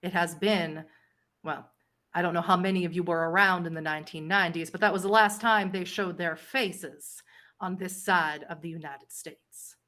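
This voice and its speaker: A woman playing a haughty, powerful vampire ruler in a tabletop roleplay, measured and imperious, with deliberate pauses. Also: on the low side, steady tone, sharp tone. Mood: grave. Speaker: game master.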